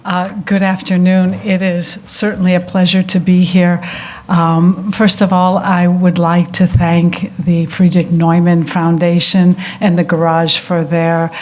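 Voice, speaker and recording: A woman with an American accent giving a public lecture, speaking in a measured way with frequent ums and uhs. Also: lowish voice, flat delivery, a little mellow. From United States.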